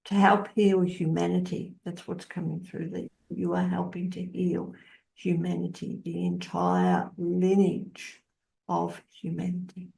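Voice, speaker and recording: A woman hosting a spiritual interview, speaking solemnly in halting, short phrases. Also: on the low side, level tone, vocal fry, warm tone, low-quality recording. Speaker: interview host.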